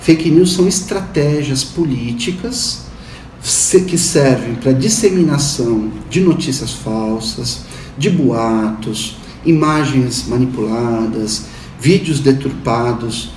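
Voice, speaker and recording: A man's voice: mid-range voice, a little mellow. A Brazilian professor in his fifties answers in a careful, academic manner. His voice sounds a little distant, with a roomy echo and a faint background hum. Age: 50s. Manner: measured academic explaining. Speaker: university professor interviewee. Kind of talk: interview answers.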